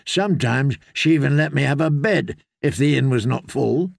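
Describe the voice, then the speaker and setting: A man voicing a kindly, courteous travelling holy man in a storybook, gentle and conciliatory. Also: mid-range voice, moderate pace, vocal fry, no room sound, studio quality.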